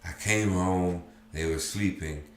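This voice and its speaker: Man doing a slurring, anguished drunk sergeant and father, deep voice, roomy echo. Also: slow. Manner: slurred, anguished, loud outbursts. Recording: roomy echo, faint background noise.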